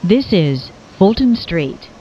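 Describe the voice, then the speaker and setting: A woman reading scripted automated subway announcements in a measured, clearly enunciated way, with phrases pieced together. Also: mid-range voice, very animated. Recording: dull lo-fi recording.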